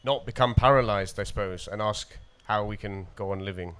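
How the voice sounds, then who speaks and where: A man from North Wales with a North Welsh accent, a poet introducing and reading poetry at a festival in a measured, deliberate way. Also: lowish voice, fairly bright tone, clear, resonant tone. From coastal North Wales.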